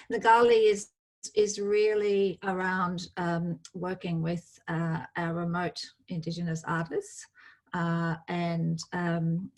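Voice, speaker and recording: A woman from outback Australia telling her story as a panel guest, modest and hesitant, with many fillers. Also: lowish voice. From outback New South Wales, Australia. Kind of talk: personal story on a panel call.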